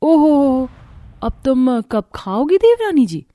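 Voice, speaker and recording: A woman's voice: high voice, very animated, slow, mellow, full character voice. A woman voicing a resentful, bossy elder sister-in-law in a Hindi cartoon story, sarcastic and fond of giving orders.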